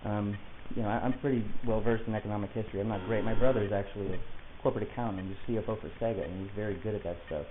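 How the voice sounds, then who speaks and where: A man playing an agitated, rambling client who insists he is being targeted, talking in a halting, digressive, self-justifying way in a naturalistic audio drama. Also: on the low side, steady tone, lightly acted. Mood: earnest, insistent. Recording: hissy, tinny phone-like lo-fi recording.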